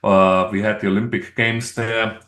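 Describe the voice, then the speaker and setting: A middle-aged man with an Austrian accent, a native German speaker, talking as an interview guest in a halting, explanatory way. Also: on the low side, level tone, a bit bright. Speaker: podcast guest, engineer. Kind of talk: conversational interview. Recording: roomy, echoey sound.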